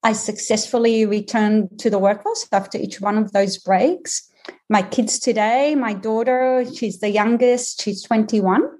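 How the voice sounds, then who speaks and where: A woman in her fifties with a mixed Israeli, Australian and American accent, explaining things in a measured way with frequent ums as a podcast guest. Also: medium pitch, steady tone, a little mellow. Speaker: career coach guest. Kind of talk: podcast interview.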